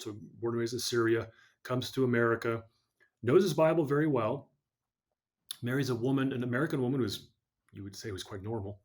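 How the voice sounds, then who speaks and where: A man speaking as a podcast guest, telling a personal story in an earnest, measured way. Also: mid-range voice, darkish tone.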